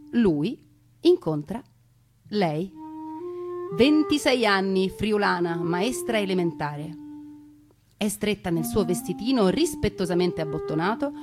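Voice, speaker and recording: A woman narrating a scripted stage story in a theatrical, deliberate way with conversational asides. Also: medium pitch. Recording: music behind a radio theatre performance.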